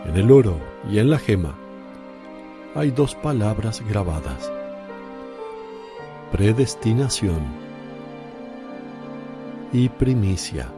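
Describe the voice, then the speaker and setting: A man playing Jesus in a radio drama, speaking gently and solemnly as a teacher and preacher. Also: fairly low pitch, animated, slow pace, pauses often, over music. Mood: solemn blessing.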